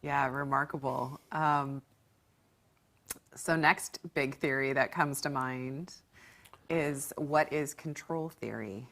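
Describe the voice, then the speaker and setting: A man interviewing in a casual, conversational style with a creaky voice. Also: medium pitch, fairly even intonation, slow pace, sharp tone.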